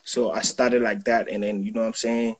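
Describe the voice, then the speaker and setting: A man's voice: on the low side, little pitch movement, fairly quick. A young man from Ghana, a self-taught photographer and videographer, talking casually on a video call and peppering his speech with "yo" and "you know what I'm saying". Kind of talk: casual interview chat. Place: indoors, small room.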